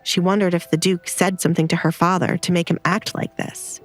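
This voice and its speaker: A woman's voice: lowish voice, flat delivery, brisk, faint music behind. A woman narrator reading a scripted romantic drama in a storytelling style.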